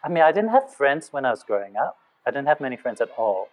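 A man's voice: mid-range voice, big pitch swings, fast. A man from Malaysia, a guest talking in a reflective, hesitant way. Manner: hesitant, many fillers and restarts, reflective. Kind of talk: casual podcast chat. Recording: phone-like narrow sound.

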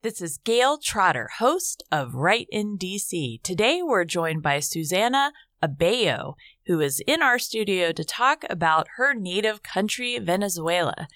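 A woman host interviewing a guest in a studio in a measured way, with short follow-up questions. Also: fairly low pitch, big pitch swings, fairly bright tone, dry recording, soft background music. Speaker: talk show host.

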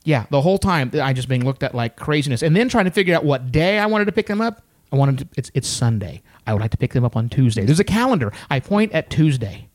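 A man recounts a story in easygoing podcast chat. His pitch swings widely as he goes, and he slips into voices for the other people in it. The tone stays loose and conversational. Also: big pitch swings, fast. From United States.

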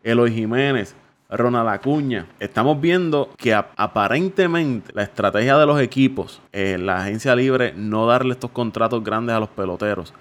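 A man's voice: medium pitch, normal speed. A man with a Puerto Rican accent hosting a baseball talk show, talking at length with frequent "eh" fillers. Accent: Puerto Rican Spanish. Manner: discursive, many "eh" fillers. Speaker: sports show host.

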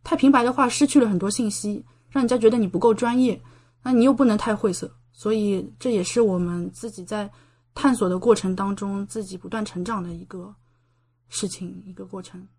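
A woman's voice: medium pitch, fairly quick, a little mellow, husky. A woman guest on a podcast, talking casually and explaining things in a talkative way with rhetorical questions and quoted exchanges. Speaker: podcast guest, medical doctoral student and science writer.

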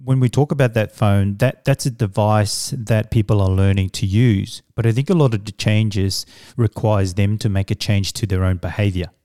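Samoan-born guy, middle-aged, samoan first language, warm low voice, dry room, talks slow and thoughtful with some ums. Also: steady tone, no echo. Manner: measured, reflective, with fillers and restarts. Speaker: guest, business coach. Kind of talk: conversational interview answers.

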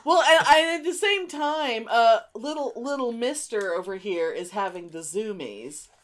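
A woman's voice: fairly high pitch, lively intonation, unhurried, fairly bright tone. A woman co-hosting in casual banter, reacting with exclamations and encouragement. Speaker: podcast co-host.

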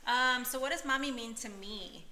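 A woman's voice: fairly high pitch, normal speed, sharp tone, echoey room, slight background noise. A young woman from Peru, a podcast guest, chatting casually with many fillers and frequent laughter.

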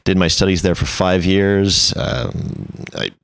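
Very deep-voiced Frenchman in his thirties. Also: flat delivery, slow pace, a bit bright, clean tone, no echo. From France. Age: 30s. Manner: verbose, self-deprecating, anecdotal. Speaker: interview guest, creative director. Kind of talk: casual conversational interview.

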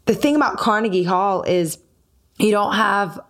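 A woman's voice: medium pitch, moderate pace, a bit bright. A young adult woman, a singer, talking casually and acting out quoted dialogue as she tells a story. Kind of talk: casual conversation.

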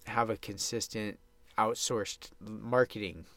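A man's voice: fairly low pitch, steady tone, takes it slowly, a bit bright, no echo. A man with a congested, stuffy nose hosts a podcast alone, talking in a casual, upbeat, rambling way in a small sound booth. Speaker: podcast host. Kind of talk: casual solo podcast monologue.

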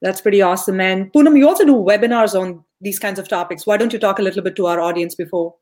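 A woman's voice: medium pitch, fast, darkish tone. Young woman, mid-range voice, podcast host, conversational interviewing style. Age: young adult.

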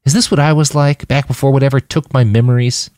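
A man's voice: medium pitch, steady tone, fast talker, a little mellow, no echo, studio quality, voice pushed up, close to an everyday voice. A man voicing a reluctant, indignant male veteran soldier in an audiobook, with a blunt, challenging manner. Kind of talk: audiobook character voice.

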